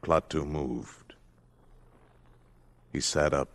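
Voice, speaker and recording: A man's voice: very deep voice, fairly even intonation, drawn-out words, creaky. A man narrating a radio drama in a grave, portentous, theatrical scripted style. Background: loud dramatic music.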